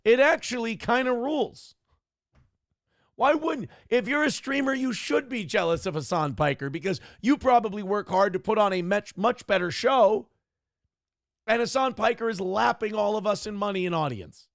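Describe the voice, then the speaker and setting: A man's voice: high voice, normal speed, vocal fry, no room sound, studio quality. A man hosting a livestream show, chatting casually, swearing freely and reading out viewer donations. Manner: profane, reads donor messages aloud. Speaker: streamer and show host.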